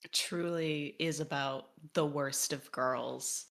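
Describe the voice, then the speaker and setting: A woman's voice: deep voice, fairly even intonation, takes it slowly, gravelly, fairly bright tone. A woman co-hosting a podcast, giving a conversational explanatory summary and leaning emphatically on key words. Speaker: podcast co-host.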